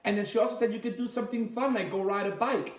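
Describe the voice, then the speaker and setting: A man's voice: high-pitched, fairly even intonation, fast. A man leading an interactive story time for children as a coach, chatty and encouraging, explaining things simply with plenty of repetition. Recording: echoey room.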